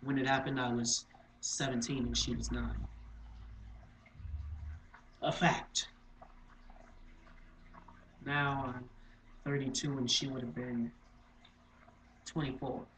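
A man performing a dramatic monologue as a guilt-haunted man in his thirties, halting and swinging between hushed and loud delivery. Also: mid-range voice, little pitch movement. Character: guilt-haunted thirty-two-year-old man confessing to killing his sister. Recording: echoey room with faint noise.

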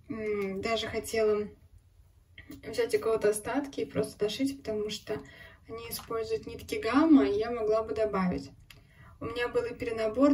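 A slightly hoarse woman chats easily about her cross-stitch, now and then slipping into a near-whisper. She sounds a little distant from the mic, in an echoey room with a noisy background. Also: on the high side. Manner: chatty, drops into whispers, laughs softly. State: a bit hoarse. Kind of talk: casual chat showing needlework. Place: home, at a table.

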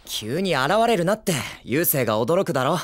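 A man voicing a brash, excitable teenage boy hero in an anime film, with cocky, eager, boyish speech. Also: a little high, normal speed. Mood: curious, friendly. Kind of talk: Japanese anime film acting.

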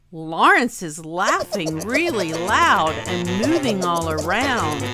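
A woman reading a rhyming children's picture book aloud in an expressive, deliberate storytelling manner. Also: mid-range voice. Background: music behind the voice.

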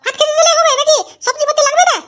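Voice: extremely high pitch, fairly even intonation, racing, bright, forceful tone. A performer voicing a young married cartoon woman with an emphatic, coaxing manner in an exaggerated comic style. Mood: nagging. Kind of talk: exaggerated comedy cartoon voice acting.

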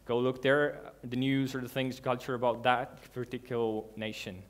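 A man's voice: medium pitch, level tone. Man giving an earnest talk on stage. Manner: earnest, halting, with restarts and non-native grammar. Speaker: conference speaker. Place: large dark hall with a seated audience.

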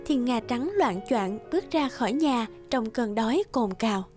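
A woman narrates a scripted cartoon fairy tale in a high voice. Clear music plays behind her storytelling. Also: lively intonation, normal speed, darkish tone. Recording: music under the voice.

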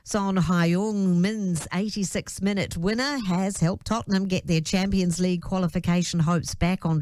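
A woman's voice: on the low side, darkish tone, dry recording. A woman with a New Zealand accent reads a scripted news bulletin as a radio newsreader. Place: dry radio studio.

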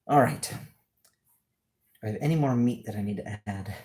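A man's voice: fairly low pitch, moderate pace, darkish tone. A man hosting a casual cooking livestream from his home kitchen, talking off the cuff and jokingly as he works. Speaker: cooking show host. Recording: clearly noisy home kitchen livestream audio.

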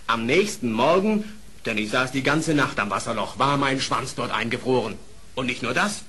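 An actor playing an accusing wolf vassal in a fairy-tale audio play, telling things in a formal, plaintive way. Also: male voice, mid-range voice, expressive, normal speed, sharp tone, breathy edge. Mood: aggrieved. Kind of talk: German fairy-tale radio play acting.

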